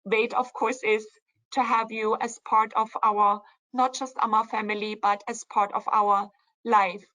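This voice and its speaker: A woman co-founder hosting a virtual company event, warmly praising a colleague and handing the floor over to him. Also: a little high, level tone, unhurried, low-quality recording. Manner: warm, praising, guides the conversation. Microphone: video call.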